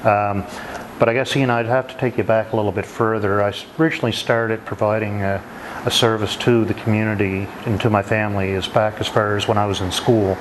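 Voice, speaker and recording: A middle-aged man with a Maritime Canadian accent speaks in a fairly low voice. He answers interview questions reflectively and haltingly, with noticeable noise behind him. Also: fairly even intonation. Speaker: retiring emergency dispatcher and firefighter.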